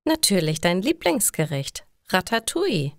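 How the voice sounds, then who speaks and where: A woman's voice: medium pitch, very animated, normal speed, no echo, studio quality. A woman voicing an affectionate, cheerful wife in a storybook, coaxing and carefully enunciated.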